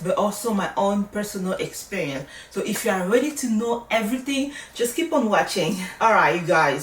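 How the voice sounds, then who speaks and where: A woman with a French accent, a native French speaker, giving casual advice as a vlogger in a reassuring, emphatic way. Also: medium pitch. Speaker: vlogger, nursing study coach. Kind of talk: casual advice talk to camera. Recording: roomy echo, distant microphone.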